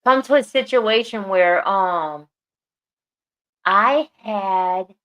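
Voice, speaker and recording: A woman's voice: medium pitch, very slow, muffled recording. A woman co-hosting a podcast, talking earnestly and repeating phrases for emphasis. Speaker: podcast co-host. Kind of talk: casual podcast chat.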